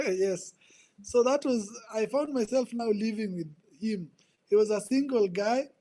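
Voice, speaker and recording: A man in his twenties with a Kenyan accent, telling his life story as a podcast guest in an earnest, halting way, often repeating words. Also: sits high, mellow, low-quality recording. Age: 20s. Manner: earnest, repeats words while searching for them. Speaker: guest, ministry coach. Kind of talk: personal testimony in conversation.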